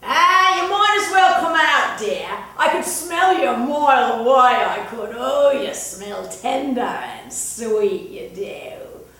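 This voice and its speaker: Woman acting a fearsome old witch, echoing loudly. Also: high voice, sing-song, takes it slowly, pitched above the performer's own voice, heavily acted. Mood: gloating, menacing. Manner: sly, repeats tag phrases after her sentences. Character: fearsome old witch Baba Yaga, gloating and hungry. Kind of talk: dramatic folktale storytelling. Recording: very echoey room, distant mic.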